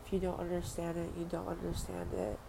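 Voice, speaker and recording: A woman's voice: lowish voice, completely flat delivery, a little fast, gravelly. A young woman from Western Samoa speaking earnestly in a personal vlog monologue, repeating phrases and using casual fillers. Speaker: vlogger. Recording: noisy background ambience.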